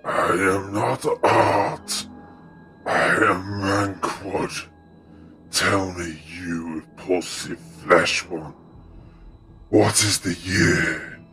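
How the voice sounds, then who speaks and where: A man's voice: steady tone, bright, forceful tone, heavily acted. A man playing an ancient, hungry, contemptuous carnivorous plant monster in an audio drama, with pompous, menacing delivery. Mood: scornful, hungry. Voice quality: deep, menacing. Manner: slow, pompous, theatrical villain delivery. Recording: music behind the voice.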